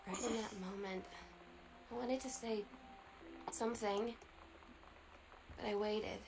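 A woman's voice: medium pitch, steady tone, slow, background noise. A reflective teenage girl. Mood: wistful. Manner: soft, reflective and intimate. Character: teenage girl recalling a tender moment. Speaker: game narrator reading a journal. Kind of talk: scripted game voice-over. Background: music.

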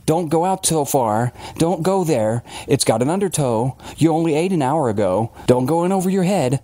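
A man's voice: fairly high pitch, animated, normal speed, dark tone, dry recording, voice pushed up. A man voicing a fretful, overprotective mother who nags urgently, as a character in an audiobook story. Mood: anxious, nagging. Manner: nagging, urgent. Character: fretful, overprotective mother shouting warnings to child.

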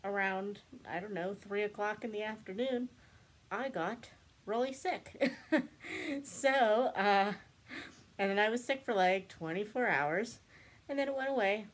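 A woman's voice: mid-range voice, unhurried, pauses often. A young woman chatting casually to camera in a small room, stuffed up with a cold, rambling and laughing often. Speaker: book and craft vlogger. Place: small room indoors, seated before a cabinet.

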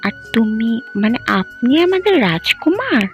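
A woman's voice: a little high, big pitch swings, measured pace, faint music behind. A woman voicing a sad, lonely young village girl in a cartoon, plaintive in tone.

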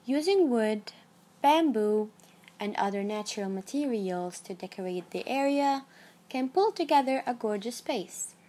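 A woman with a fairly high voice reads a scripted, numbered list. She takes it slowly and evenly, each item spoken with measured calm and no rush between points. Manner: measured, even read-aloud delivery. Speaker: narrator.

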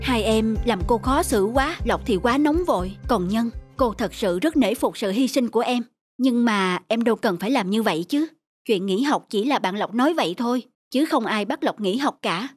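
A woman voicing a gentle, serious schoolteacher in a Vietnamese cartoon, admonishing softly and in a measured way. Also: on the high side, expressive, husky, faint music behind. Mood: gently reproachful. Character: gentle, serious female schoolteacher, concerned and fair.

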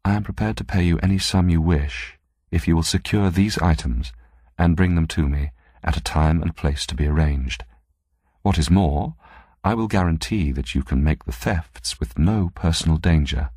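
A man voicing a poised, imperious woman collector in an audiobook, calm and calculating, with formal, commanding speech. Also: deep voice, moderate pace, slightly rough, no room sound, studio quality. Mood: businesslike.

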